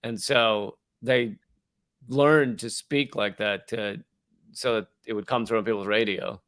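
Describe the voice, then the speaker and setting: A man's voice: fairly low pitch, fairly even intonation, unhurried, fairly bright tone, no echo. A man co-hosting a comedy podcast, rambling in a wry tone and laughing between lines. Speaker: podcast co-host. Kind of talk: casual comedy chat.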